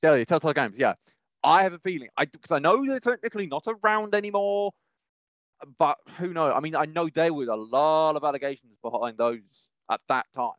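A man co-hosting a podcast, casually discussing news in a halting, rambling way and often rephrasing mid-sentence. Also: fairly high pitch, animated, a bit bright, dry recording, dull audio. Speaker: podcast co-host.

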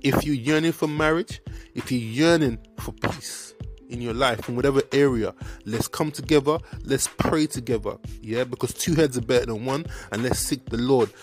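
An earnest young man talks straight to his listeners about faith, repeating himself for emphasis. He leans on casual fillers as he encourages and preaches. Faint music plays quietly under his voice. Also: mid-range voice, normal speed. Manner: heavy fillers like "like" and "you know what I mean". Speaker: podcast host. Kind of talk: casual faith talk to viewers.

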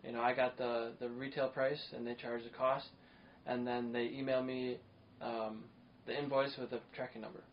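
A man's voice: mid-range voice, level tone, normal speed. Chatty, enthusiastic male podcast host. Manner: talkative, enthusiastic, rambling with fillers. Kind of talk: casual interview chat. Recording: dull lo-fi sound, faint noise.

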